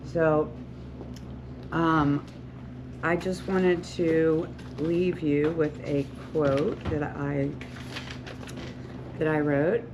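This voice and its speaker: A woman's voice: low voice, level tone, drawn-out words, long pauses. A woman in her sixties, a hairstylist and salon owner, talking earnestly to beauty students in an encouraging, rambling way with many restarts. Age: 60s. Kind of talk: talk to beauty school students. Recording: lo-fi with loud background noise.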